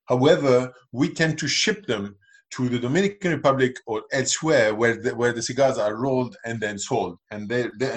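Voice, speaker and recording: A man's voice: medium pitch, breathy edge. A middle-aged man from Lebanon, a podcast guest explaining his views earnestly in conversational answers. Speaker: podcast guest, cigar entrepreneur.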